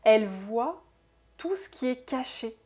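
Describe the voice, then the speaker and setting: A woman with a stuffy, congested nose from a cold, giving an intuitive oracle card reading to her viewers, musing aloud softly and often tagging her sentences with "hein". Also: a little high, lively intonation, husky. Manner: soft, unhurried, musing aloud with frequent "hein". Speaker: soul therapist and oracle card reader.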